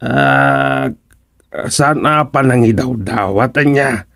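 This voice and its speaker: A man with an Ilocano accent playing a scheming, hesitant village councilor in a radio comedy drama, hemming and evasive in a husky, gravelly voice. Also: mid-range voice, takes it slowly, a bit bright, no echo, studio quality. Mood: sly, hinting. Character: scheming, hesitant male village councilor angling for higher office.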